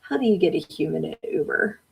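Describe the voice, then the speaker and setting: A woman's voice: low voice, animated, fairly quick, vocal fry, slightly rough. A woman hosting a live-stream podcast, reading viewer comments aloud and chatting back with frequent laughs. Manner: chatty, laughs often, talks back to the chat. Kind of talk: casual live-stream chat.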